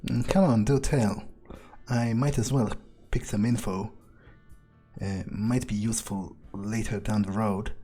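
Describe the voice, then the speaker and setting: Slow, mellow man musing in character. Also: on the low side, steady tone, slightly rough, soft background music. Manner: hesitant musing, sardonic, profane. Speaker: game player roleplaying a character. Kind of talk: in-character game playthrough, thinking aloud.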